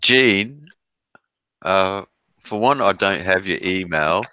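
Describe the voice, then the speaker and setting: Deep-voiced man hosting a stream. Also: expressive, slow pace, sharp tone, smooth, no room sound. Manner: laid-back, rambling, laughs often. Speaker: live stream host. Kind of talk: casual live stream chat. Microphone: laptop mic.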